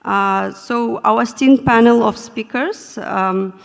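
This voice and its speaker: A woman moderating a conference panel in a measured, formal manner, often pausing with fillers. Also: fairly high pitch, creaky. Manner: measured, with frequent hesitations. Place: conference hall. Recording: echoey conference hall.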